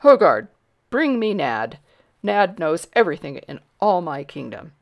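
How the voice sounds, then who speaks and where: A woman voicing a blustering, commanding old king who booms out imperious orders in a storybook. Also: very animated, unhurried, vocal fry, lo-fi sound, heavily acted.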